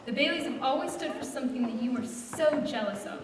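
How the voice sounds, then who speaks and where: Woman playing principled bank examiner, blunt, firm, higher voice, radio play acting, strong echo, far from mic. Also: fairly quick, lightly acted. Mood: indignant. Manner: firm, confrontational. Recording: reverberant hall, distant mic, faint noise.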